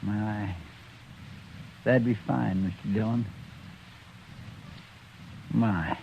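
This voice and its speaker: Creaky, meek country deputy. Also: male voice, lowish voice, fairly even intonation, very slow, full character voice. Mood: humble, grateful. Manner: deferential, hesitant, folksy. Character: meek, devoted injured deputy, rustic man. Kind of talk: 1950s radio western acting. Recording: muffled, noisy 1950s radio recording.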